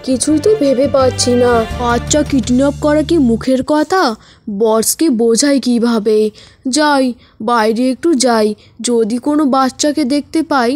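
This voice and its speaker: Brief: greedy cartoon child-snatcher acted out by a high-voiced performer, sly, blustering villain, light music underneath. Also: moderate pace, close to an everyday voice. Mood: anxious, scheming. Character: scheming male child kidnapper, greedy, menacing. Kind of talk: animated moral-story dialogue.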